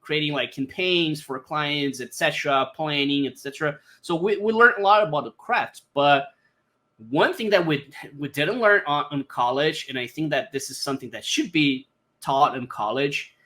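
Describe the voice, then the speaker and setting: A man in his thirties from Brazil, a native Portuguese speaker, telling his life story as a podcast guest in halting English full of fillers and restarts. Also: on the high side, bright, forceful tone. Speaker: podcast guest, brand designer. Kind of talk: casual interview storytelling.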